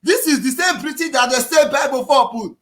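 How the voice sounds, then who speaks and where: A man with a Nigerian accent giving an impassioned political talk, repeating himself and often raising his voice to a shout. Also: very high voice, a little fast, faint music behind. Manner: preachy, repetitive, often shouting. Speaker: activist speaker.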